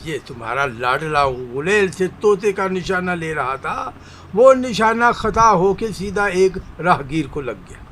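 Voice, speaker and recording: A man's voice: high-pitched, expressive, unhurried, fairly bright tone. An actor playing an exasperated shopkeeper father who scolds and complains emphatically in an Urdu radio drama. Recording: faint background noise.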